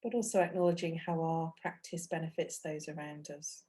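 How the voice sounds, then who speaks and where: Mellow, low-voiced woman guiding meditation. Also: fairly even intonation. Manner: gentle, unhurried, with long pauses between phrases. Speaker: meditation teacher. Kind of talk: guided meditation.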